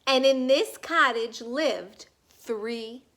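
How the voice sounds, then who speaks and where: A woman reading a story aloud for young children in an animated, emphatic way, pausing for question asides. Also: a little high. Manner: animated, emphatic, drawn-out key words. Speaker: storybook reader.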